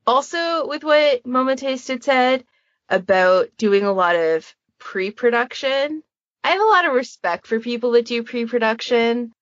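A woman's voice: a little high, measured pace, no echo. Woman, casual podcast panelist. Candid, self-mocking, sweary delivery. Very dry, slightly dull recording. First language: English. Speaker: podfic creator and podcast panelist.

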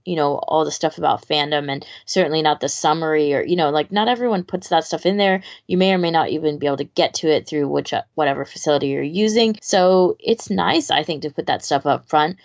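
A woman's voice: sits low, brisk, few pauses. A woman co-hosting a podcast in a casual, conversational way, on slightly lo-fi audio. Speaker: podcast co-host. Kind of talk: casual chat.

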